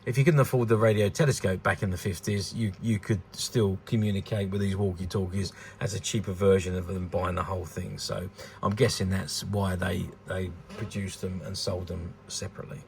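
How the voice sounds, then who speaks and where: Deep, gravelly British man in his sixties. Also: steady tone, fairly quick, darkish tone, a little hiss or hum. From United Kingdom. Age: 60s. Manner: rambling, hesitant, repeats words. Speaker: vintage toy dealer presenting a collectable. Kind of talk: unscripted informal product commentary.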